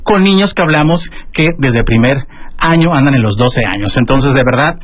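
A man with a Mexican Spanish accent hosting a casual radio news segment, chatting with his co-host and filling pauses with "eh". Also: mid-range voice, expressive, no echo. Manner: chatty, warm address to listeners, many fillers. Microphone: studio microphone.

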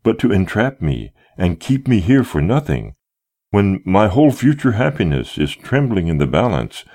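A man voicing a desperate young gentleman in love who pleads with his captor in impassioned, formal, old-fashioned speech. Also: low voice, sing-song, unhurried, gravelly, voice pushed up, heavily acted. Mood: aghast, indignant.

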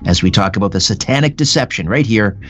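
Low-voiced male radio host. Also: lively intonation, fast talker, soft background music. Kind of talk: radio talk show hosting.

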